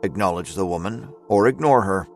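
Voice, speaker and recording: A man's voice: low-pitched, a little fast, soft background music. A man narrating a scripted audio drama as its storyteller.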